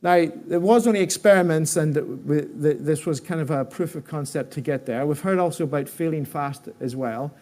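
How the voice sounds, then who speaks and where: A man giving a conference presentation as an executive, speaking haltingly and often restarting his words and phrases. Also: a little high, warm tone. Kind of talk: conference presentation with audience questions.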